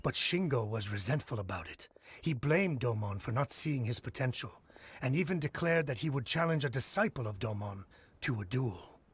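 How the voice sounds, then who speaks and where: A man's voice: mid-range voice, expressive, moderate pace, slightly rough. A man playing a plain-spoken, disparaging villager in a video game, matter-of-fact in his delivery. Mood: disapproving.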